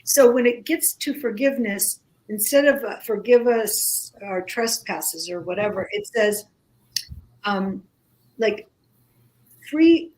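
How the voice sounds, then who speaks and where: A woman whose first language is Greek, speaking as a guest in a casual radio conversation, slow and halting with many pauses and restarts. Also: mid-range voice, a little mellow, rough recording.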